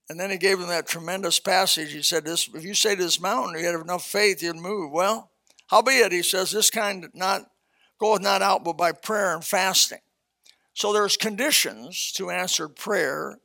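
A man's voice: sits high, sharp tone. A seventy-year-old man preaching a casual, blunt sermon, reading scripture and talking plainly to his congregation. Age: 70s. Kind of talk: casual sermon, Bible exposition.

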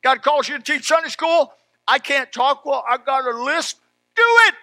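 A man preaching an animated sermon in a folksy, emphatic manner, exclaiming and repeating phrases as he tells stories. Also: very high voice, normal speed, no room sound. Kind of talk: animated Bible sermon with personal anecdotes.

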